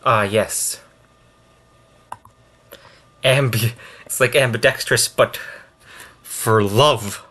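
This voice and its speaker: A man giving casual, unscripted reaction commentary as a video host, in a halting, rambling way with thoughts that trail off. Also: mid-range voice, sharp tone.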